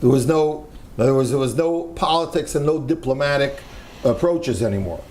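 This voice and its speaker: A man's voice: mid-range voice, a little fast, a little mellow. An older American man from the United States, speaking earnestly on a panel discussion as a religious community leader, repeating key phrases for emphasis.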